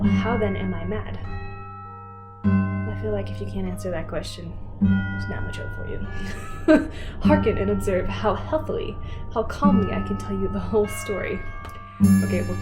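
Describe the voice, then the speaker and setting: A woman host doing a semi-dramatic read-aloud of a story, wry and informal, breaking off for casual asides. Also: mid-range voice.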